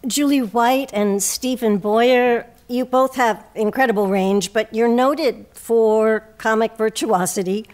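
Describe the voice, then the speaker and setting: A woman with a fairly high voice works as the host of a theatre show. She speaks in a presenter's manner, suited to interviews and announcements. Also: takes it slowly, hardly any pauses, a little mellow, no room sound. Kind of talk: hosted interview and scripted credits.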